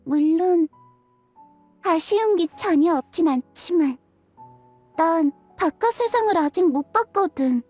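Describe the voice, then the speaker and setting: An actress voicing a gentle, dreamy young girl in a game, talking in a soft, wistful, playful way. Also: extremely high pitch, lively intonation, unhurried, pauses often, soft background music. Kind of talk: game cutscene dub.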